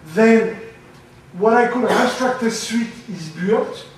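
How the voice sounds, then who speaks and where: Loud guy giving an academic talk, lots of uh and um, fairly high voice, very echoey room, mic far off. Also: a little hiss or hum. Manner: loud, halting with many fillers. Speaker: conference speaker. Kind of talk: academic presentation. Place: lecture room.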